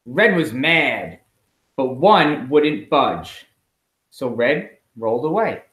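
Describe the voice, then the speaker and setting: A man reading a picture book aloud to children in an expressive, emphatic storytelling way, as a school principal at home. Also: medium pitch, takes it slowly. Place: at home, indoors. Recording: roomy, echoey home recording.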